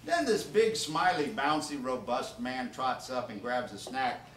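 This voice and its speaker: A man's voice: medium pitch, animated, a little slow, fairly bright tone. A man reading comedic stories aloud as an author at a live event, with informal asides to his listeners. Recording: roomy, distant, noisy live event recording.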